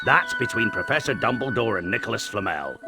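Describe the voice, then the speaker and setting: An actor playing a big, kindly, loose-tongued half-giant in a video game. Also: male voice, fairly low pitch, sing-song, fairly quick. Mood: blustering, secretive. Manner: blustering, blurts things out. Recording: loud music behind the voice.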